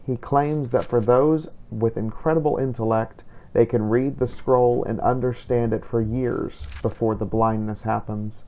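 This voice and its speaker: A man narrating a video game playthrough in character, telling it as a first-person story in a measured way. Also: medium pitch, vocal fry, mellow, dull audio.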